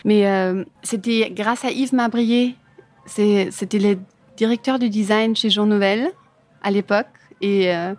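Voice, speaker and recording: A woman from Germany with a German accent, a native German speaker answering interview questions casually in imperfect French, often searching for words. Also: mid-range voice. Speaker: interview guest, furniture designer. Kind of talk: casual interview answers about own career.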